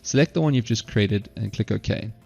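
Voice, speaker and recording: Guy doing a software walkthrough, croaky fry in his voice, dull muffled recording with music behind. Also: lowish voice, moderate pace. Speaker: software tutorial presenter. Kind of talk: instructional screencast narration.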